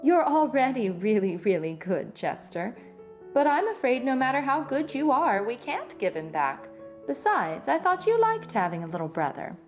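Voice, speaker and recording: A woman voicing a gentle, loving mother raccoon in a storybook, speaking in a tender, soothing way. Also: sits high, big pitch swings, moderate pace, highs cut off, faint music behind, voice pushed up.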